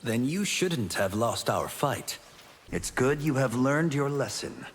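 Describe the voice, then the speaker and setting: An actor playing an earnest, dutiful young warrior champion speaking sincerely in a video game. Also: male voice, medium pitch, lively intonation, takes it slowly. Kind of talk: video game fight banter. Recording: reverberant game audio with background noise.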